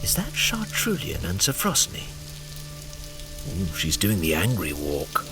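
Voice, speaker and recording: An actor playing a sly, playful courtly schemer in an audio drama, talking in flowery, teasing phrases with theatrical flair. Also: male voice, deep voice, huge pitch swings, measured pace, music in the background, exaggerated voice, poor audio.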